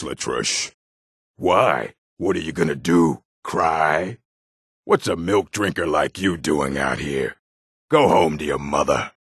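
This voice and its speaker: A burly, surly video game orc, speaks bluntly and with menace. The voice is extremely deep and husky, full of gravel, in a dry close recording. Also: male voice, no echo, heavily acted. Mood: contemptuous. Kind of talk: scripted video game dialogue.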